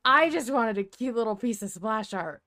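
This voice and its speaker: A woman hosting a fan podcast in casual chat, effusive and excitable, quick to play down her own part. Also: a little high. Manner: excitable, effusive, self-deprecating. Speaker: podcast host.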